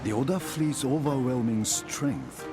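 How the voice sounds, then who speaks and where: A man narrating samurai wars for a video game cutscene as a grave chronicler, in measured, dramatic storytelling. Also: mid-range voice, lively intonation, lightly acted. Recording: music under the narration. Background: cinematic music.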